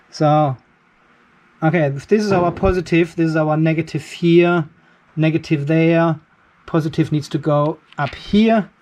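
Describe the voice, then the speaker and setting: A man talks through a repair job in his garage workshop and thinks out loud as he goes. His voice sits a little high for a man. The handheld camera is held close to him. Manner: explains step by step while working, thinking aloud. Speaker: channel host. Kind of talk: hands-on workshop demo. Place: cluttered garage workshop with a metal roof.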